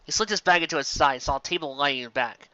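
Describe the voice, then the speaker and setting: A man reading fan fiction aloud as an amateur narrator, expressive and occasionally stumbling over words. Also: fairly high pitch, fast talker, no room sound, highs cut off.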